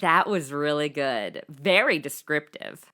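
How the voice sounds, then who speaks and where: A woman's voice: low voice, expressive, unhurried, pushed and bright, exaggerated voice. A woman playing a brash, sarcastic young sidekick who talks in a mouthy, informal way in a comedic superhero audio drama. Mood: wry.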